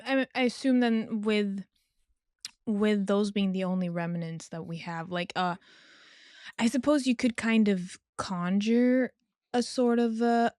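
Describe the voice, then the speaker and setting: A woman's voice: medium pitch, darkish tone, no echo, studio quality. A woman co-hosting a podcast, curious, chatting casually with many "like" fillers. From outside the UK. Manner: curious, quick reactions, "like" fillers. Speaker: podcast co-host.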